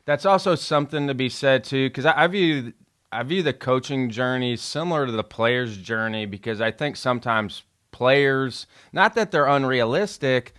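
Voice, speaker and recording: Clear-voiced American male interviewer. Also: medium pitch, normal speed, a bit bright. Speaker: podcast host interviewing a guest. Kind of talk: conversational interview.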